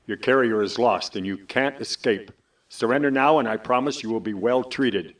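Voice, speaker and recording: A man plays a cold enemy captain in a fan audio drama, speaking in a measured, formal tone. His voice sounds a bit distant from the mic. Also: mid-range voice, natural voice. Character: calm male enemy captain demanding surrender.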